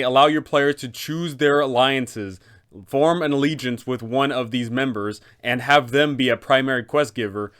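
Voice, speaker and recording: A man with a clear, smooth voice at a middle pitch. He speaks as a host advising game masters, narrating and explaining how scenes could be played. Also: a bit bright. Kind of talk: explanatory narration with role-play advice.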